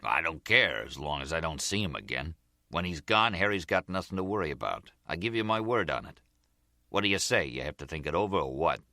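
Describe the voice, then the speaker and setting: Man playing a gruff, deep-voiced crime boss. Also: animated, brisk, bright, forceful tone, dry recording, clean studio sound, exaggerated voice. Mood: dismissive, bargaining. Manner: blunt, commanding. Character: gruff, bargaining, easily irked male crime boss.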